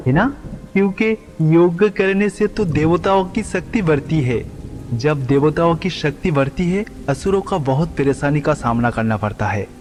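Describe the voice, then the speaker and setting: Man voicing an earnest, higher-pitched troubled sage. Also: slight background noise. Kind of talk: animated devotional story dialogue. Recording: long reverb, music underneath.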